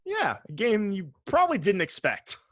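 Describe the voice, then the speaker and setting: A man's voice: high-pitched, huge pitch swings, moderate pace, fairly bright tone, dry recording. Casual male podcast co-host, dry close sound. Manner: profane, joking and sarcastic. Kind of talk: casual gaming podcast chat.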